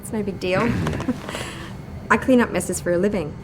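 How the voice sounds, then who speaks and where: A woman's voice: medium pitch, normal speed, background noise, lightly acted. A woman playing a polite, chatty airline passenger in a naturalistic audio drama, courteous and easygoing. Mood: breezy, friendly. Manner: apologetic, courteous, breezy.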